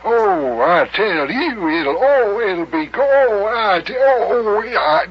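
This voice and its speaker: An actor playing a bashful old small-town mayor in an old-time radio comedy, rambling and stammering in rustic grammar. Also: male voice, sits high, big pitch swings, slow, pitched above the performer's own voice, exaggerated voice. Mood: gushing, flustered. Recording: dull, noisy vintage radio recording.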